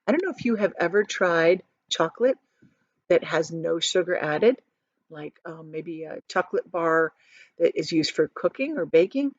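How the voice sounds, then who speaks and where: Animated woman reading a children's story. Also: fairly low pitch, no echo, highs cut off. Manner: expressive, pausing to explain words simply to listeners.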